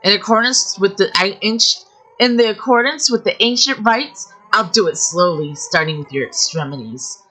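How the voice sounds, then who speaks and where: A cruel young woman taunts her prisoner with slow, cold threats, voiced by a woman reading the story. Her tone is bright and pitched above her plain voice. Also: poor audio. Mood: cruel taunting. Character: menacing young woman captor, cruel, mocking.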